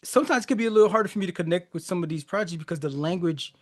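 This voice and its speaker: A man's voice: sits high, rapid-fire. Laughing, conversational man in his forties. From born in Haiti, raised in the United States. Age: 40s. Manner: laughs often, loose and conversational, many restarts and fillers. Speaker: music reaction podcast host. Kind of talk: casual reflective monologue.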